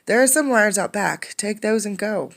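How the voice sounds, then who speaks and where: A brusque miller from a storybook, acted by a woman reading aloud. He is curt and grudgingly helpful, with a raised voice pitch. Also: animated, moderate pace, creaky, fairly bright tone. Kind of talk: storybook character voice.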